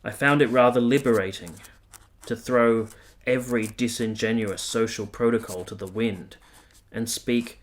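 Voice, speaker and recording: An actor playing an earnest, articulate army captain who has been to war, in a radio play. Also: male voice, mid-range voice, steady tone, normal speed, close to an everyday voice. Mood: solemn, reflective. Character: earnest, candid young army captain, reflective war veteran. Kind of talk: radio play narration and dialogue.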